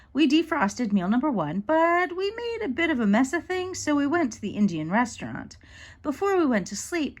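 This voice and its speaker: A fairly high-voiced woman reads a children's book aloud. Her pitch rises and falls in a very animated way. She tells it as a storyteller would, shaping each bit of dialogue with its own intonation. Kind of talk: expressive read-aloud storytelling.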